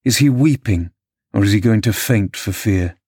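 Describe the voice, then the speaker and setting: A man's voice: on the low side, moderate pace, mellow, breathy edge, no room sound, studio quality. A man voicing a contemptuous, vengeful, violent man from a classic novel in a sneering, menacing manner. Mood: sneering contempt.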